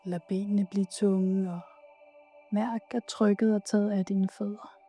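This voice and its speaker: A woman leading a guided yoga nidra meditation in a soothing, soft, unhurried way with pauses. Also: mid-range voice, flat delivery, faint music behind.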